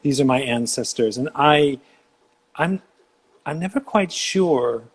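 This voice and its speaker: A man hosting a casual livestream interview, musing out loud and rambling with lots of fillers. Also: mid-range voice, expressive, takes it slowly, slightly rough. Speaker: host. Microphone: video call livestream.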